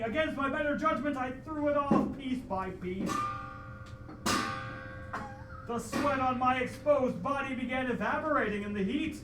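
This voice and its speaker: Guy doing a heroic adventurer radio drama narrator, very theatrical, far from mic, noisy room. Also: very high voice. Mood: strained, desperate. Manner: melodramatic, solemn storyteller. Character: heroic male adventurer knight narrating his dragon quest. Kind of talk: old-time radio adventure play. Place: live theatre stage with audience. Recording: echoey live hall, distant mic, noisy.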